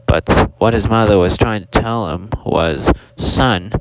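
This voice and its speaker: A man's voice: fairly low pitch, measured pace. A man voicing a forgetful, kindly storyteller hippo who rambles formally and keeps losing his thread. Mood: earnest. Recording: very noisy amateur recording with clicks.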